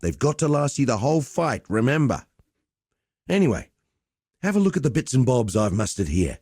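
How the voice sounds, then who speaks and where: Mellow, roguish arena master. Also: male voice, mid-range voice, sing-song, normal speed, breathy edge, no echo, studio quality. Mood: wry, instructive. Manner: wry, teasing, conversational swagger. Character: roguish male gladiator arena master, cocky, teasing, easygoing. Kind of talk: video game character dialogue.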